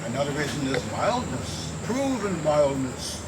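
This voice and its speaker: Old time radio announcer guy, emphatic, fairly high voice, very noisy recording. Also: very animated, measured pace. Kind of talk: vintage radio commercial announcing.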